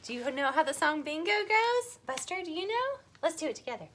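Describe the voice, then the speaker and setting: A woman hosting a children's storytime, talking to young viewers in an animated, encouraging way with playful questions. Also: high-pitched, brisk. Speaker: children's library storytime host.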